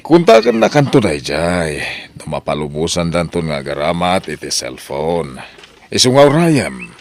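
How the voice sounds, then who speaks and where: An actor voices an understanding father in an Ilocano audio drama. His voice is extremely deep yet swings in pitch, with an Ilocano accent. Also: huge pitch swings, measured pace, a little mellow, slight background noise, full character voice. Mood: reassuring. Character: understanding, advising father of grown daughter. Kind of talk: Ilocano radio-style audio drama acting.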